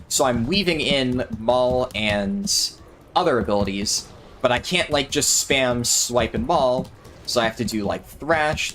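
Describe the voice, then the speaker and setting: A man giving relaxed, rambling livestream commentary while playing a game and answering chat, sounding a little tired. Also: medium pitch. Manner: chatty, thinks aloud, answers chat. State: maybe tired or sore throat. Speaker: streamer.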